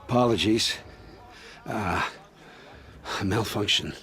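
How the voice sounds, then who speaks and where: A man playing a flustered, evasive scavenger in a video game, talking hesitantly and haltingly. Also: fairly low pitch, fairly bright tone. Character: evasive, flustered male scavenger and expedition guide. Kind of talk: video game dialogue acting.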